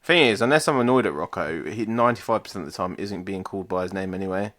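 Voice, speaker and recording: A man's voice: sits low, fast, a bit bright. A young man co-hosting a podcast, chatting in a laid-back, dry way and swearing casually. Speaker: podcast co-host. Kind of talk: casual banter.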